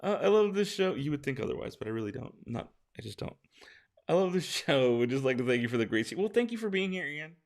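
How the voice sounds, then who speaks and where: Tired, gravelly-voiced man chatting with his stream. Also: on the high side, big pitch swings, fast. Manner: chatty, reads out viewer questions and answers them. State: hoarse, tired. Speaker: streamer answering chat. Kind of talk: casual live-stream chat.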